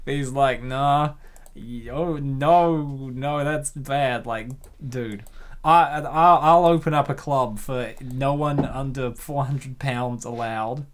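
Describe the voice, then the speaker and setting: A man's voice: medium pitch, slow pace. A man hosting with casual, chatty reaction commentary, rambling and jokey. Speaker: host of comic dub channel.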